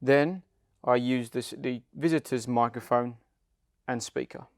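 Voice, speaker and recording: A man's voice: mid-range voice, a little slow, no room sound, studio quality. A man giving a scripted instructional product narration, explaining plainly step by step. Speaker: company head of client development, instructor.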